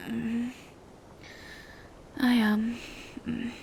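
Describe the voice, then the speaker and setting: A woman voicing a gentle, bashful female vampire in an intimate roleplay audio, speaking in soft, hesitant half-whispers. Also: a little high, level tone, extremely slow, creaky, a little hiss or hum, heavily acted. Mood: sleepy, soft. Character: gentle, bashful female vampire in monstrous true form, lovestruck.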